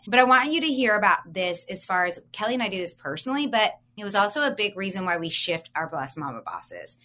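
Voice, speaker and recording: A woman's voice: fairly low pitch, sharp tone. A woman in her forties co-hosting a podcast, coaching listeners in an upbeat, emphatic way and asking them questions. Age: 40s. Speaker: podcast co-host.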